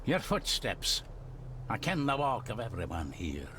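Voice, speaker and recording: An actor playing a wary, blunt Scottish manservant in a video game drama, speaking cryptically in broad dialect. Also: male voice, on the low side, wildly swooping pitch, unhurried, bright, forceful tone, a little hiss or hum, full character voice. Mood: knowing.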